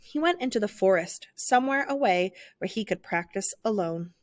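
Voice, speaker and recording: A woman narrating a children's picture book aloud in an expressive, theatrical storytelling style. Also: medium pitch, moderate pace, no echo, studio quality.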